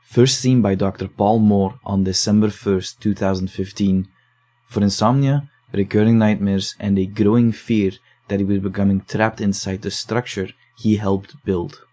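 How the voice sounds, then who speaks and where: Man, low voice, mellow dark tone, measured calm delivery, reading aloud. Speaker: investigator reading case files. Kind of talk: scripted audio drama, dialogue and reading aloud.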